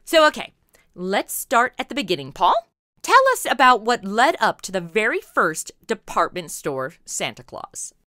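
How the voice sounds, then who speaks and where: A woman's voice: medium pitch, big pitch swings, normal speed, pushed and bright, no echo, clean studio sound. An American woman with a perky, chatty, slangy manner, hosting a scripted, playful podcast interview. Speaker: podcast host.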